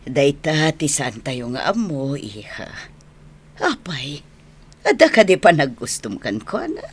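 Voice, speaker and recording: An affectionate middle-aged mother in a radio drama. Her husky voice is low and swings dramatically up and down. She has an Ilocano Filipino accent and probes gently. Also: big pitch swings, takes it slowly, full character voice. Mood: curious, probing.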